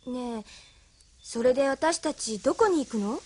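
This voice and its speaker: A woman's voice: sits high, animated, a little slow, slight background noise. A woman acting a spirited, curious, outspoken young island woman in a Japanese audio drama. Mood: probing.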